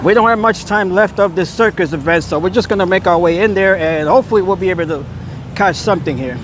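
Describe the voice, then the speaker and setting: A man's voice: high voice, fast. A man hosting a casual walking livestream outdoors on city streets at night, easygoing and chatty. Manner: easygoing, chatty, repeats phrases. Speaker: livestreaming vlogger host. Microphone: handheld phone. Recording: phone mic with loud street noise. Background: street and traffic noise.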